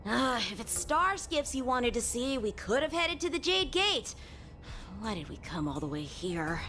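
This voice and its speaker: A woman's voice: a little high, big pitch swings, moderate pace, sharp tone, slight background noise. A woman voicing a brash, impatient young boy in a video game, with a sassy, blunt manner. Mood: puzzled. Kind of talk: animated video game character acting.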